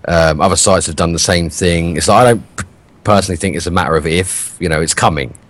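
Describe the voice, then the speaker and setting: A British man co-hosting a gaming podcast, swearing a lot and arguing his point in a self-described monotone. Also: low-pitched, quick pace. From Britain. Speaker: podcast co-host. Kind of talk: casual gaming podcast chat.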